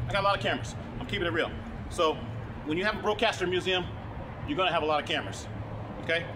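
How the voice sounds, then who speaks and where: Man, loud and rambling, fast talker, slightly high voice, phone-recorded, clear background noise. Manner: loud, rambling, self-correcting. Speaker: vlogger and camera collector. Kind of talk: casual on-camera show-and-tell. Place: his broadcaster museum room. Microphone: phone camera, no microphone.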